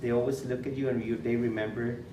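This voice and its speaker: A man's voice: medium pitch, level tone, fast. Man, Spanish accent, principal teaching a workshop, lots of fillers and restarts, distant mic, background noise. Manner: repeats words, many fillers and restarts. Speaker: school principal giving interview advice. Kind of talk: informal workshop talk. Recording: echoey room, far from mic, noisy.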